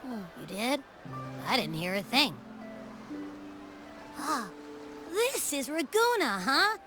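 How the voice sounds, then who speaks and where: A tiny, childlike game companion, naive and full of exclaimed questions. The voice is high and swings up and down in a chirpy way. Music plays clearly behind. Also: female voice, sing-song, takes it slowly, full character voice. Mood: surprised, curious. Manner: exclamatory, inquisitive. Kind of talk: video game character dialogue.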